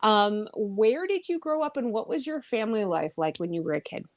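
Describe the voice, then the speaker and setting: A woman's voice: medium pitch, expressive, moderate pace. A woman hosting a podcast interview, enthusiastic and bubbly, laughing often. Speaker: podcast host. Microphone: audio-only call.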